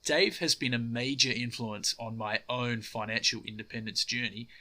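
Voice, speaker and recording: A man's voice: medium pitch, fairly even intonation, moderate pace, bright, forceful tone. An Australian man hosting a podcast, a bit nasal from a cold, reading a scripted intro and then chatting and joking with his guest. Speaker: podcast host. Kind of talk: podcast interview. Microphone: earphones.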